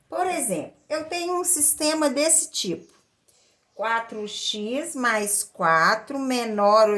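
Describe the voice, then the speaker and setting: A woman's voice: sits high, fairly bright tone. A woman with a Brazilian accent explaining a lesson step by step as a maths teacher, in a didactic way with repeated phrases. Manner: didactic, repeats phrases and checks in with short tag questions.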